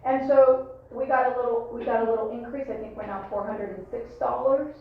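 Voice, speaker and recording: Higher-voiced woman in a very echoey room. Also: steady tone, a little fast. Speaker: health district staff member reporting. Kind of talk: spoken report at a board meeting. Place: meeting room, distant microphone. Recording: dull echoey far-off room, faint noise.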